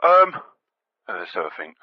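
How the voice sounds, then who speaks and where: A man's voice: sits low, big pitch swings, normal speed, no echo. An actor playing a dim, blunt, muddled man calling a comedy radio phone-in. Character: dim, blunt, inarticulate working-class male phone-in caller. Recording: dull lo-fi phone-in line.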